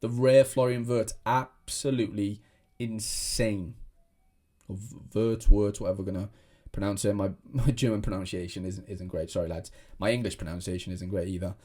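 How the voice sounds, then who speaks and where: A man's voice: on the low side, fairly even intonation, normal speed, dark tone. Rambling young man. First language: Welsh. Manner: rambling, many restarts and fillers. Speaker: fantasy football content creator. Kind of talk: casual chat to camera.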